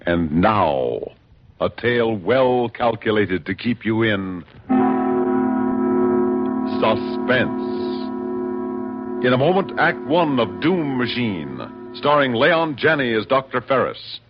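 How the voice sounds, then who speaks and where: A man narrating an old radio drama in a measured, suspenseful, scripted style. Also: medium pitch, sing-song. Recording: muffled old radio broadcast. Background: music.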